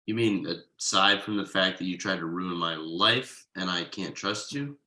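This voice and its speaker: A man playing an exasperated, uptight workaholic father with halting, sardonic delivery in a comedy table read. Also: sits low, bright, forceful tone. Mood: aggrieved.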